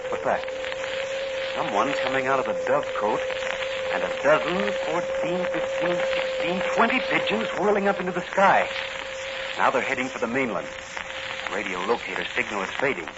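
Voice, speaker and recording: An actor playing a bold, quick-thinking masked crime fighter in 1940s radio serial style, brisk, theatrical and commanding. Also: male voice, mid-range voice, expressive, close to an everyday voice. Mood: intent, excited. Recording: muffled, very noisy old radio recording.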